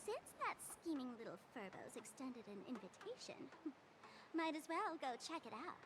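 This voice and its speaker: A woman's voice: sits high, very animated, normal speed, music in the background, low-quality recording. A woman voicing a mischievous, teasing young trickster in a game dub, playful and theatrical.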